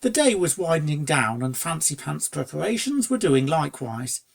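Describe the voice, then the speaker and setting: Story narrator, scripted reading, medium pitch, creaky fry. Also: sing-song, normal speed. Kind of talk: scripted narration of fan fiction.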